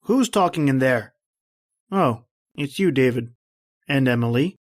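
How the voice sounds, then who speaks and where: A male actor playing a formal, dutiful estate executor in an old-time radio drama, with deliberate wording. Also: medium pitch, expressive, a little hiss or hum, close to an everyday voice. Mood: suspicious, polite.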